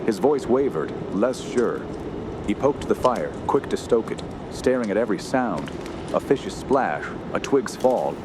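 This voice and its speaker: A man's voice: fairly low pitch, big pitch swings, measured pace, background noise. An actor playing a haunted young man who narrates a horror radio drama in solemn, clipped phrases. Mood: dread. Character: haunted young nephew recounting wilderness terror. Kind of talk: scripted first-person horror radio narration. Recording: loud dramatic music behind.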